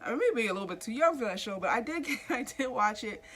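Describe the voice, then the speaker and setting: A woman's voice: a little high, animated, quick pace, fairly bright tone. A woman in her thirties hosting a casual comic book news chat, a little stuffy from a cold, talking straight to her viewers and asking them questions. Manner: chatty, asks viewers questions directly. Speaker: YouTube host.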